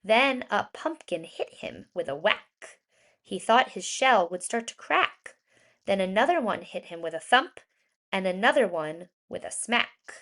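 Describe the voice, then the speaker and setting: A woman reading a rhyming children's story aloud in an expressive, deliberate storytelling manner with emphasized words. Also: mid-range voice. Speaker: children's storybook reader, educator.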